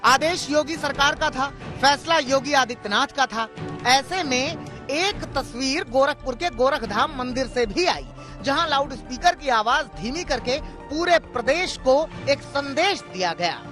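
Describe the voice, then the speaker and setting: High-pitched dramatic news narrator over music. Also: expressive, a little slow.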